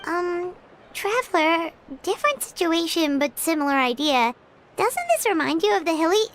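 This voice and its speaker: Sweet polite girl, very high, lively. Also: moderate pace, heavily acted.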